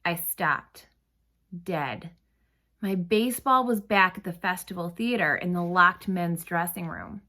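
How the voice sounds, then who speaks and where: A woman narrating a storybook read-aloud with expressive, dramatic phrasing. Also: lowish voice, measured pace.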